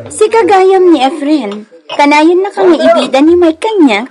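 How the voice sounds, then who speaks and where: A woman's voice: very high-pitched, sing-song, normal speed, music in the background. An actress with an Ilocano accent playing a giggly, friendly young single woman in a radio drama.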